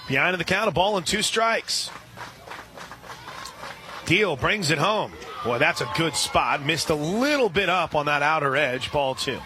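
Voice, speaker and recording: A man doing live radio play-by-play of a softball game, relaxed and chatty, lifting his voice on big plays. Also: fairly high pitch, measured pace, background noise.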